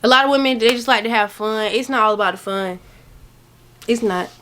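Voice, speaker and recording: A woman's voice: a little high, a little fast, noisy background. Chatty early-twenties woman giving advice. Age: early 20s. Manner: chatty, rambling, emphatic, slangy. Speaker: vlogger. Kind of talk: casual advice talk to camera. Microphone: camera mic.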